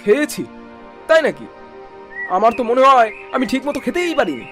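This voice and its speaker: A man's voice: very high-pitched, sing-song, brisk, fairly bright tone, faint music behind, full character voice. A man voicing a haughty, scornful young son-in-law in a cartoon, with a sneering, condescending manner. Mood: sneering displeasure. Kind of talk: Bengali cartoon voice acting.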